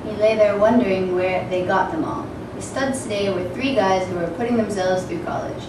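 Female reader of comic fiction, thirties, far-off miking and clear noise in the hall. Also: mid-range voice, fairly even intonation, moderate pace. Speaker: reader at a literary event. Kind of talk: dramatic reading of a comic novel. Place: stage with curtains before an audience. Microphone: microphone on a table stand. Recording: very echoey hall, distant, clearly noisy.

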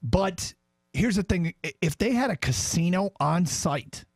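Higher-voiced American male radio host. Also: expressive, unhurried, no room sound. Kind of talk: radio news talk and commentary. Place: radio studio. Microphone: studio broadcast microphone.

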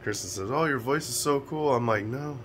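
An American man in his forties, hoarse and raspy from a cold with a stuffed nose, rambling loosely to a close-held phone camera in a small room and chuckling often. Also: mid-range voice, moderate pace, fairly bright tone, slight background noise. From United States. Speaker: vlogger. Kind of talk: casual vlog talk.

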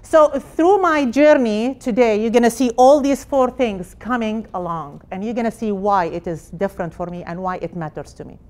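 A middle-aged woman from Palestine, a hospital director, giving an energetic personal talk in a conference room with phrasing. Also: a little high, moderate pace, few pauses. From Jerusalem, Palestine. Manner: energetic, gesturing while speaking, non-native phrasing. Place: conference room with projected slides.

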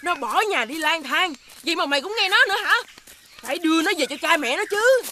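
A woman voicing a worried, scolding villager in an animated fairy tale. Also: very high-pitched, fast, a little hiss or hum, heavily acted.

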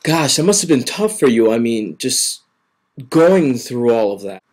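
A man's voice: mid-range voice, big pitch swings, unhurried, background noise. A young man playing a fake-polite, flustered talk-show interviewer in a home webcam sketch. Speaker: mock interviewer. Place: living room at home.

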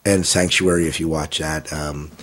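A man in his thirties with a low, husky voice talks through his story as a podcast guest. He rambles easily, circling back with fillers and restarts. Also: steady tone, normal speed, a bit bright. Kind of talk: casual interview answers.

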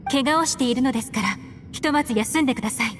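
Lady voicing polite caring anime game girl, talks fast, bit high, some music under it. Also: natural voice. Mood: concerned. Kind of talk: Japanese anime game voice acting.

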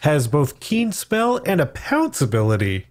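A man's voice: a little high, wildly swooping pitch, unhurried. A man giving opinions in a casual discussion, with a dryly sarcastic way of talking. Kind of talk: casual tabletop game discussion.

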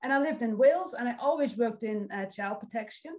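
Woman, Dutch accent, forties, social work lecturer, encouraging, higher voice. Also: expressive, fairly quick, a little mellow. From Netherlands. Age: 40s. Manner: friendly, encouraging, reads out audience comments. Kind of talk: online presentation to a youth summit.

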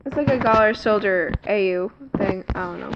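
A woman's voice: medium pitch, moderate pace, highs cut off, noisy background. A woman doing an amateur fan comic dub, reading casually with self-conscious, apologetic asides. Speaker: comic dubber.

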